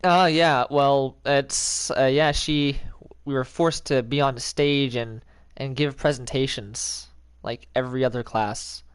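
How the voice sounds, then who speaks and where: A man's voice: mid-range voice, steady tone, takes it slowly, smooth, no echo. A young man streamer chatting casually in a rambling, jokey way with lots of fillers. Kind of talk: casual chat.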